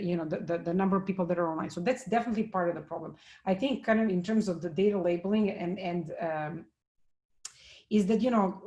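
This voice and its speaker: Halting woman giving a tech talk. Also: lowish voice, a little mellow. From Serbia. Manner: halting, many fillers and restarts. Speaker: conference speaker. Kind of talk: technical talk with Q&A.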